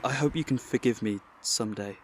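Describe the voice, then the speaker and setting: An actor playing a sheepish, guilt-ridden colleague in an audio drama, speaking with hesitant stammers. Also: male voice, mid-range voice, quick pace, a little hiss or hum, close to an everyday voice. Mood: contrite.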